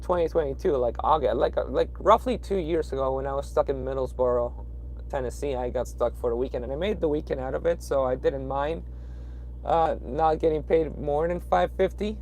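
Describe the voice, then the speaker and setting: A man's voice: a little high, brisk, darkish tone, a little hiss or hum. Peruvian-accented man chatting from his truck. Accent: Peruvian Spanish. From Peru. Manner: rambling, hesitant, with frequent fillers and restarts. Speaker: truck driver livestreaming. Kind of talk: casual livestream chat reading viewer comments. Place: inside a parked truck cab at night.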